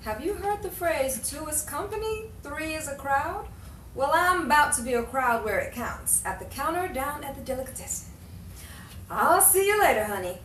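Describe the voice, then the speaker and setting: Woman as a high-pitched sassy best friend. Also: very animated, moderate pace, a bit bright. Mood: sassy, breezy. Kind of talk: 1950s-style live radio drama acting. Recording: roomy, distant mic, faint noise.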